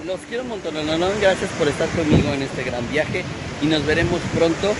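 Mexican man vlogging in loud wind. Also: a little fast. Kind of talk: casual chat to camera. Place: outdoors on an open windy beach. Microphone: handheld phone at arm's length. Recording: loud wind rumbling on phone microphone.

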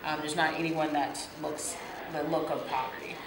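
A woman's voice: deep voice, steady tone, normal speed. A woman from Los Angeles giving emphatic interview answers, often restarting her thoughts. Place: indoor room with mural wall. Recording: echoey room with crowd noise.

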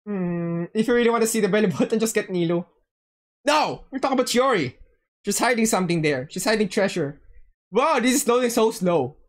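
A woman livestreamer chatting casually over a game in an excitable, joking way, often repeating phrases. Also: mid-range voice, a little fast. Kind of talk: casual game stream chat. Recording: game music behind.

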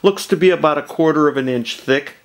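A man hosting a DIY video, explaining a cheap welding setup at his workshop bench in a halting, restart-filled way. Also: fairly high pitch. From Southern California. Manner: halting, many ums and uhs, restarts. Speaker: DIY channel host. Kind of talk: casual how-to demonstration. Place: home workshop, at a workbench.

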